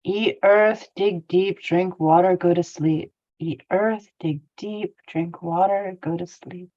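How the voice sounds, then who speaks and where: A woman's voice: fairly low pitch, steady tone, takes it slowly, warm tone, muffled recording. A woman playing a weary, burdened young male hobbit in a script read, plaintive in manner. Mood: drowsy.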